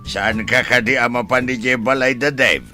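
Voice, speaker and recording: An actor playing a nagging, teasing husband in a radio drama, exclaiming and scolding. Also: male voice, mid-range voice, normal speed, pushed and bright, faint music behind.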